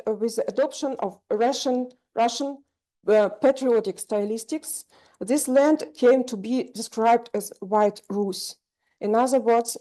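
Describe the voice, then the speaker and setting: A woman presenter reading an academic paper aloud at a conference, halting with many "uh"s. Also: mid-range voice, warm tone. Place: conference room.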